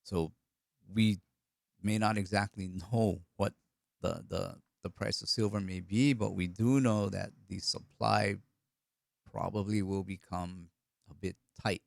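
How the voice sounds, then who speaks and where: A middle-aged man with a cold, from Hawaii, hosts a relaxed live stream. His low voice has a gravelly, croaky edge. The sound is dry and close, and he rambles with frequent restarts. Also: unhurried, no room sound. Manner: rambling, repeats words. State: hoarse with a cold. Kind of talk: casual live stream chat answering comments.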